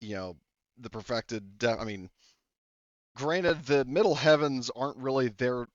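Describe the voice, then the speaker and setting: A man's voice: medium pitch, unhurried, no room sound. A man acting as game master, talking casually with lots of fillers and restarts. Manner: casual, rambling with many fillers. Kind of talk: running a tabletop roleplaying game. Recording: dry, slightly dull lo-fi audio.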